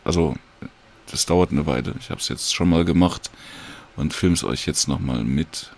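A man casually and hesitantly talks viewers through a screen recording in an informal, unhurried tutorial. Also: a bass voice. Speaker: audiobook creator giving a download tutorial.

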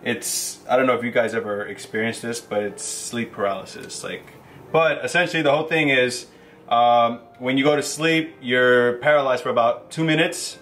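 A man's voice: mid-range voice, moderate pace, slight background noise. A young man from the Bronx with a New York accent, casually explaining a recipe step by step in a home kitchen. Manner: relaxed, laughs often, explains step by step. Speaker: cook showing a recipe. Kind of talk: casual cooking demonstration.

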